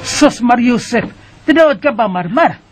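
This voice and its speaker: A man's voice: extremely high pitch, animated, unhurried, soft background music, heavily acted. A man doing a nosy, scolding neighbor woman. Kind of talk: radio drama acting.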